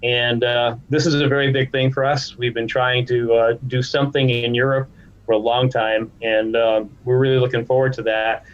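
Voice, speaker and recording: A man's voice: medium pitch, flat delivery, moderate pace, muffled recording. A man speaking conversationally as a guest executive in a podcast interview. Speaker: podcast guest, trade association chief executive.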